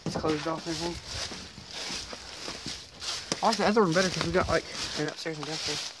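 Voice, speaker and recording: A man's voice: fairly high pitch, fairly even intonation, fast. A young man casually exploring abandoned buildings, talking loosely and swearing a lot, recorded on a phone he carries while walking outdoors. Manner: loose, profane, jumpy. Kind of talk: casual handheld exploration chat. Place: outdoors in woods and ruined sheds. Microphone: phone camera carried while walking. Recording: loud noisy outdoor phone audio. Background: rain and loud handling noise.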